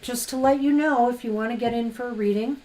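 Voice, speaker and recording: A woman co-hosting a live-stream tarot reading, chatty and fast-talking, laughing often. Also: on the high side, mellow, low-quality recording. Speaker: tarot reader co-host.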